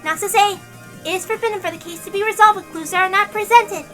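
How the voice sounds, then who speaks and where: Woman acting a very high, stern inquisitor. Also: quick pace. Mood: absolute. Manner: formal, declarative, unyielding. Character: stern, formal female inquisitor reciting absolute laws. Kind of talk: dramatic visual novel read-aloud. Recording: loud music behind the voice.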